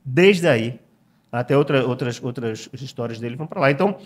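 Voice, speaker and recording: A man's voice: medium pitch, expressive, brisk. Hesitant Brazilian man from Pernambuco. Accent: Pernambuco Brazilian Portuguese. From Recife, Brazil. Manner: reflective, frequent hesitations and repeated words. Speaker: guest writer. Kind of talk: conversational interview.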